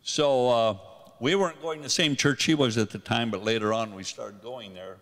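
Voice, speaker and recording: A man's voice: mid-range voice, moderate pace, fairly bright tone. An older man telling rambling, self-deprecating stories to a church congregation on a handheld mic. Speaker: guest singer sharing a testimony. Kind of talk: casual storytelling to a congregation. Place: church sanctuary. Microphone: handheld microphone. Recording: long hall reverb.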